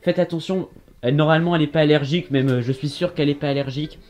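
A man's voice: on the high side, steady tone, rapid-fire, darkish tone, muffled recording. A young man vlogging at home as a big brother, teasing and laughing often in a playful way, recorded on the camera mic. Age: young adult. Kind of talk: playful family vlog. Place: indoors, bedroom and kitchen of a home.

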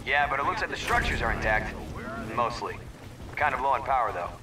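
An actor playing a practical, wary soldier in an action game, reporting in a casual, matter-of-fact way. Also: male voice, medium pitch, animated, brisk, crowd noise, lightly acted. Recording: echoey, slightly distant, music and explosions.

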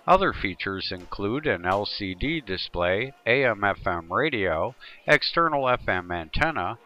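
A man's voice: fairly low pitch, sing-song, a little slow, lo-fi sound. A man presenting a tutorial in scripted, instructional narration.

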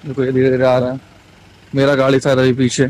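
A man narrating a casual travel vlog outdoors and from a jeep, chatty and excited, joking with his companions. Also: mid-range voice, a little fast. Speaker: travel vlogger. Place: outdoors and in a jeep on a bumpy road.

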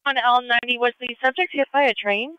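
A woman dispatches police units in clipped, procedural phrases. Her voice is high and very clear, heard through a dull, muffled scanner radio. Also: quick pace, dry recording. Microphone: radio dispatch channel.

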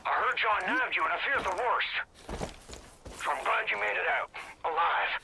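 A fatherly, plain-spoken resistance man from a video game briefs over a noisy radio. His voice is fairly high and creaky, with a gruff, urgent edge. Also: animated, normal speed, sharp tone, heavily acted. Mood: urgent, relieved. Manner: blunt, folksy, swearing. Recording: noisy, clicking radio transmission.

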